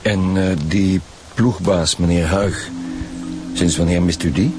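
An actor playing a seasoned chief police inspector with a Flemish accent, firm and matter-of-fact, in a radio drama. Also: male voice, low voice, fairly even intonation, takes it slowly, over music. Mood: dry, businesslike. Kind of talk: naturalistic radio drama acting.

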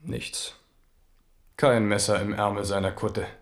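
A man's voice: on the low side, steady tone, a little slow, vocal fry. An actor playing a restless, self-doubting Renaissance French king in a radio play, in standard German with a brooding, ironic manner. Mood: brooding, uneasy.

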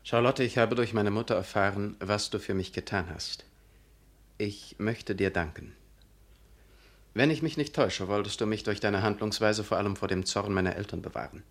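A man's voice: on the low side, moderate pace, close to an everyday voice. An actor playing a cold, fanatical young clergyman in a literary radio drama, speaking in a stern, pompous, unyielding manner. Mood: stiff, formal. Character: Karl Arthur, self-righteous, cold, fanatical young clergyman.

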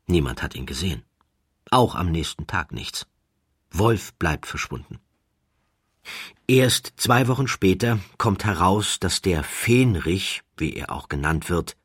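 A man with a very low, husky voice reads a story as an audiobook narrator. His delivery is measured and dramatic, with deliberate pauses. The sound is very dry and close to the microphone. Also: a bit bright, dry recording. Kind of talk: scripted literary narration of a biographical story.

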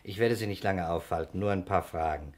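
An actor playing a composed, probing fire investigator in a 1960s German radio crime drama, matter-of-fact and questioning. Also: male voice, sits low, brisk. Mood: businesslike.

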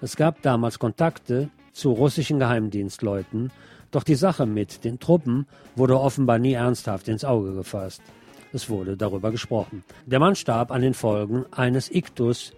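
A man's voice: mid-range voice, normal speed, warm tone, soft background music. A man presenting scripted news as a commentator, with a sardonic, ironic manner. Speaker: presenter of a local news programme.

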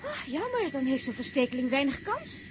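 A woman's voice: high-pitched, big pitch swings, a little fast. An actress playing an inquisitive, naive-seeming young woman in a 1960s radio drama, coy and flustered, with hesitant, stammering delivery. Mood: coy, probing. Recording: noisy early-1960s radio recording.

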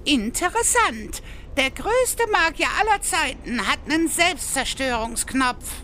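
A woman playing a quirky, curious female adventurer with a drawn-out, musing manner in a comedic radio play parody. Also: high voice, expressive, bright, forceful tone, slight background noise, heavily acted. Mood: amused.

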